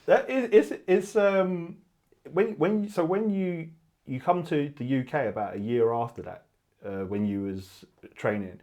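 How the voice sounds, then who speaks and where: A man's voice: a little high, measured pace, darkish tone. A man hosting a studio interview; he laughs often and restarts his questions. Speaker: interview host. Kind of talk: casual interview.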